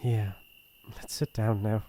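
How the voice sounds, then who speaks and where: A man voicing a shy young prince in love, speaking softly and hesitantly with a British accent in a romantic ASMR roleplay. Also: fairly low pitch, flat delivery, slight background noise. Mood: tender, wistful. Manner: soft, slow, hesitant, intimate.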